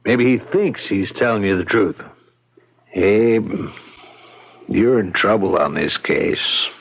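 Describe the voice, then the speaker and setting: A man's voice: lowish voice, lively intonation, slow, vocal fry, slightly rough, full character voice. A man playing a seasoned, worldly small-town lawyer in a radio drama, talking in a blunt, knowing, folksy way. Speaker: actor. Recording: muffled radio drama recording.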